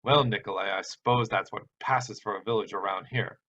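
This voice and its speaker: A man's voice: lowish voice, a little fast, sharp tone. A man voicing a sardonic, world-weary male traveler with a dry, sighing manner. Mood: dry, resigned. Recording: dull sound with background hiss.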